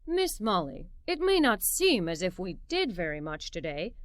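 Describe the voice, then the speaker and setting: Woman putting on a low, kindly dad. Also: big pitch swings, moderate pace, dry recording, clean studio sound, close to an everyday voice. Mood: tender. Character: kindly, mock-courtly, doting father. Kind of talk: children's storybook read-aloud.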